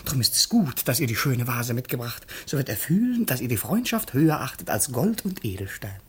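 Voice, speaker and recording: An actor playing a scheming, flattering male servant in a radio play, obsequious, ironic and insinuating. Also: medium pitch, a little fast, natural voice. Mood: confiding, sly. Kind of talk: theatrical German radio play acting.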